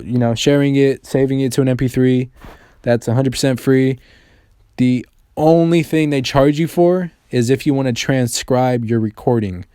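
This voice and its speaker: A man's voice: mid-range voice, warm tone, smooth, dry recording, studio quality. A man narrating a casual how-to tutorial in an informal, slangy, profanity-laced way. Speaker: tech tutorial host. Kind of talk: casual screen-recording tutorial voice-over.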